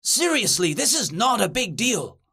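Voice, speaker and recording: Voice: medium pitch, big pitch swings, measured pace, sharp tone, husky, full character voice. A performer voicing a tiny, bossy young boy in a story, urgent and exasperated.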